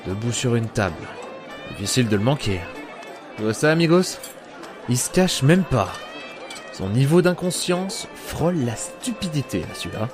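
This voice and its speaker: A man's voice: mid-range voice, big pitch swings, moderate pace, a crowd in the background, close to an everyday voice. Man playing a world-weary, grumbling adventurer over music. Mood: pensive, determined. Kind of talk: audio drama acting with inner monologue.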